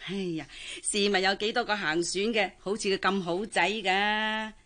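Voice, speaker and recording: Actress doing pushy old-fashioned hong kong cantonese auntie, radio drama, coaxing n sighing, middle pitch. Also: female voice, steady tone, normal speed, sharp tone, natural voice. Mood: persuading. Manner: coaxing, exclaiming, sighing interjections. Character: pushy, traditional older auntie urging a girl to marry.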